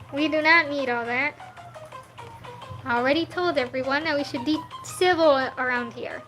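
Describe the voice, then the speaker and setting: High-pitched, unhurried streaming artist woman. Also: a bit bright, soft background music. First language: not English. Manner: chatty, answers chat questions, laughs often. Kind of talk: casual livestream chat while drawing.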